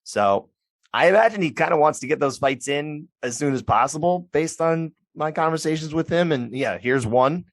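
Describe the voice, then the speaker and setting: A man's voice: fairly high pitch, lively intonation, no room sound. A man co-hosting a podcast, talking casually and at length, often restarting his sentences. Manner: chatty, restarts often, puts on quick voices for others. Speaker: podcast co-host. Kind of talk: casual sports chat.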